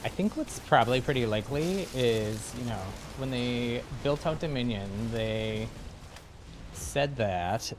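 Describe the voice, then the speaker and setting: A man's voice: medium pitch, lively intonation, unhurried, noisy background. A man chatting casually and easygoing as he comments live on the video game he is playing. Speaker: game livestreamer.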